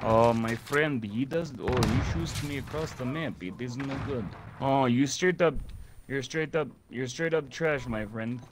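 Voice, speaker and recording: A man's voice: mid-range voice, a little slow, lightly acted. A man putting on a fake Russian accent as a boastful gamer who talks about himself in the third person, in broken English, over in-game voice chat. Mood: mocking. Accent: put-on Russian. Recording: game noise and gunfire behind.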